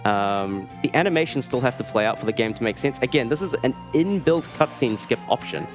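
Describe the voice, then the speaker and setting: A man's voice: mid-range voice, animated, fairly quick. A man with a New Zealand accent giving casual, dryly joking live commentary as he plays a video game. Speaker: speedrunner presenting a live run. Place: live speedrunning marathon event. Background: game music.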